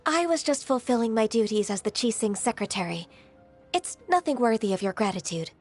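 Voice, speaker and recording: A woman voicing a modest, courteous secretary and mentor in a video game, speaking with gentle formality. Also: on the high side, animated, a little fast. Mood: humble, helpful. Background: music.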